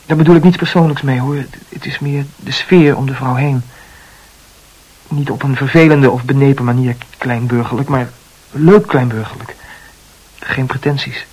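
An actor playing a self-absorbed, intense man in a Dutch radio play, talkative and self-analysing, with halting repetitions. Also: medium pitch, fairly even intonation, darkish tone, husky, natural voice, rough recording. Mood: confessional.

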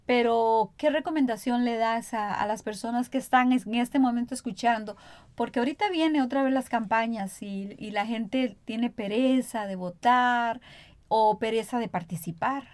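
Higher-voiced Costa Rican woman interviewer. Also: moderate pace, darkish tone, no echo. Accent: Costa Rican Spanish. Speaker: radio interviewer, host. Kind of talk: conversational interview.

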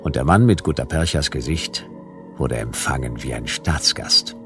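Very deep, gravelly noir detective narrator. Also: male voice, normal speed, over loud music. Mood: laconic, ironic. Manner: laconic, ironic. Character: world-weary, wry male private detective narrating his case. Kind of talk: hard-boiled scripted first-person narration, German radio play.

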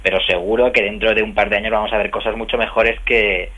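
A man on a podcast panel about video games, talking in quick bursts with restarts over a phone line. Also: lowish voice, flat delivery, bright, forceful tone. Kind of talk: casual podcast chat.

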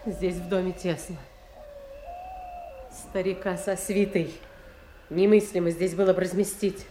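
A woman playing a cold, hard-hearted noblewoman, a king's daughter, with crisp, imperious delivery in classical audio drama. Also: fairly low pitch, steady tone, unhurried, dark tone, lightly acted. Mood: cold, resolute. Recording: roomy echo, faint background noise.

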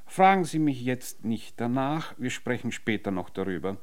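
Actor as a courtly gentleman with swooping intonation. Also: medium pitch, big pitch swings, normal speed, natural voice. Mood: businesslike. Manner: formal, old-fashioned gallantry. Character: courtly, curious male law-office secretary, formally flirtatious. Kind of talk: radio drama acting.

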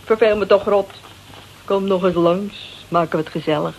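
A woman's voice: medium pitch, moderate pace, highs cut off, a little hiss or hum, close to an everyday voice. A woman playing a brash, bored, flippant housewife in a Dutch radio drama, slangy and gossipy with a Netherlands accent. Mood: bored, flirty. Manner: slangy, gossipy, theatrically expressive.

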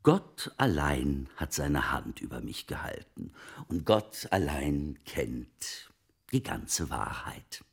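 An actor in a German radio drama playing a shaken, bitter man with a gravelly, husky bass who survived a killer. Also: sing-song, slow, heavily acted. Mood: bitter, hushed.

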